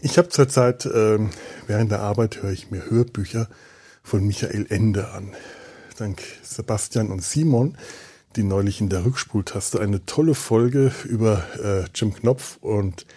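A man's voice: on the low side, measured pace, mellow, slightly rough. A middle-aged man podcasting alone in a casual, meandering monologue, thinking aloud with self-deprecating asides. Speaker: podcast host. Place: indoors on a sofa at home.

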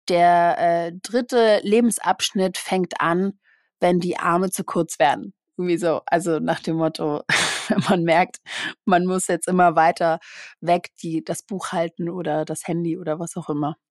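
A woman's voice: on the low side, steady tone, moderate pace, no room sound, clean studio sound. A woman who grew up bilingual in German and Portuguese, hosting an interview and asking curious follow-up questions. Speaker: podcast host. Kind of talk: interview conversation.

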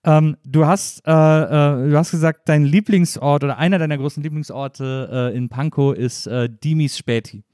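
A man's voice: fairly high pitch, moderate pace, hardly any pauses, mellow, no echo, clean studio sound. A man from the Cologne area asking long, rambling interview questions full of hesitations. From Cologne area, Germany.

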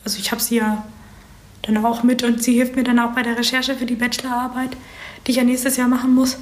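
A woman in her twenties from Berlin, explaining things informally in a casual interview with hesitant pauses. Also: fairly high pitch, monotone. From Berlin, Germany. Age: 20s. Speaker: interviewee, creative writing student and audio drama writer. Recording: roomy, echoing interview recording.

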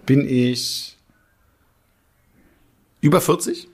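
A man explaining the rules of a guessing game, then playing it in relaxed, joking chat, laughing often. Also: mid-range voice, drawn-out words, husky.

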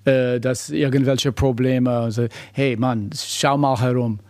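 An American man talking in German, his second language, with an accent, pausing and restarting as he hunts for words. The sound is dry and close, with no room around it. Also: mid-range voice, fairly even intonation, a little mellow, dry recording. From United States. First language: English. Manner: halting non-native German, searching for words, many restarts. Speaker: human rights investigator. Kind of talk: interview conversation.

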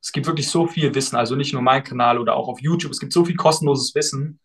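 A young man, a guest on a podcast, answering questions in a relaxed and thoughtful way, with frequent fillers. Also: medium pitch, fast talker, husky. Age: young adult. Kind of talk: casual podcast interview.

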